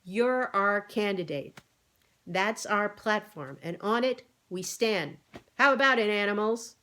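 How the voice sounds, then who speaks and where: A woman voicing a bossy, excitable male pig who makes emphatic, persuasive speeches in an animal storybook. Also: medium pitch, measured pace. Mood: insistent. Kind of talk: animal storybook read-aloud.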